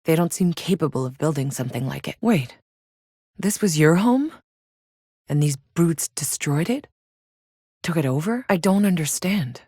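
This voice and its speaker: Casting: tough female sci-fi game mercenary. Low-pitched, sardonic and blunt, recorded close and dry. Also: animated, moderate pace, pauses often, dark tone, slightly rough, no room sound. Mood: curious, puzzled. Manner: wry, blunt, colloquial. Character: tough, sardonic woman mercenary on an alien colony. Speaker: video game protagonist voice actor. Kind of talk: scripted game dialogue.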